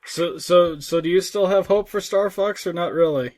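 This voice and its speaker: A man with a noticeably high voice talks as co-host of a gaming discussion. His delivery is informal and conversational, circling back over his own phrases as he argues. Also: normal speed, a little mellow. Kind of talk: casual chat.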